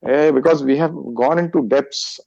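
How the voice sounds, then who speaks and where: A man's voice: on the high side, creaky, a little mellow, dry recording, muffled recording. A man from India with an Indian accent, a Hindi speaker, answering interview questions with frequent restarts and fillers. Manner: halting, many restarts and fillers. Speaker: guest, author and meditation teacher.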